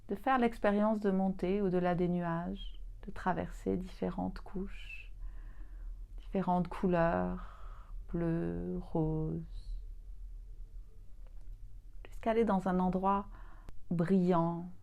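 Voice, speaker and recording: Very slow, low-voiced woman guiding relaxation. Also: fairly even intonation, gravelly, muffled recording. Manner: soothing, unhurried, gently inviting with long pauses. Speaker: yoga nidra teacher guiding a relaxation podcast. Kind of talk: guided relaxation meditation.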